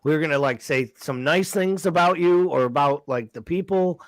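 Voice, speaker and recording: A man's voice: fairly high pitch, normal speed, no echo. A man co-hosting a football podcast, sarcastic and swearing casually. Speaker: podcast co-host.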